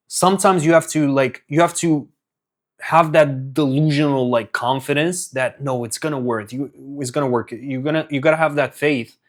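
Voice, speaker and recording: A young man from Romania giving a casual, earnest motivational talk as a business coach, with frequent fillers and restarts. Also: mid-range voice.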